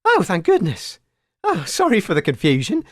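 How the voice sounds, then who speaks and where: Hesitant um-uh guy hosting silly radio bit, pitch leaps everywhere. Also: wildly swooping pitch, moderate pace, no echo, clean studio sound. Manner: hesitant, filler-heavy, easily startled. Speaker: radio show host. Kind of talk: comic radio-show hosting.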